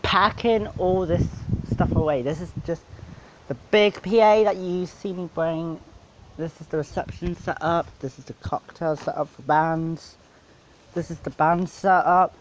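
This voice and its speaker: A man with a fairly high voice talks through his DJ setup in a casual, unscripted way. He speaks slowly and haltingly, rambling from one point to the next in blunt, informal language. There is some light background noise with clicking. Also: fairly even intonation, poor audio. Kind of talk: casual unscripted gear walkthrough.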